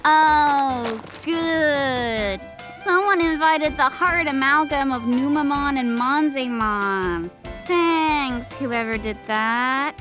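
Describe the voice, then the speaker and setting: A woman's voice: sits high, slow, music in the background. A woman voicing a sardonic, fervent young girl in a cartoon parody. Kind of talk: fan-dub parody cartoon acting.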